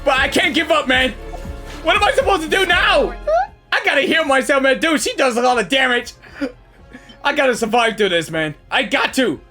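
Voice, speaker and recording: High, bright, excitable gamer guy. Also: moderate pace, soft background music. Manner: excitable, shouts and exclaims constantly. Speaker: gaming channel host. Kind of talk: live gameplay reaction.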